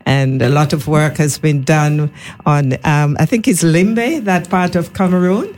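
Female radio host, Caribbean accent, unhurried and formal, moderating a panel; faint noise behind. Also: low-pitched, darkish tone. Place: radio studio.

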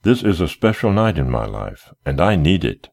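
A man voicing a desperate young gentleman in love who pleads with his captor in impassioned, formal, old-fashioned speech. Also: deep voice, very animated, a little slow, vocal fry, pitched above the performer's own voice, exaggerated voice. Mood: desperate, pleading.

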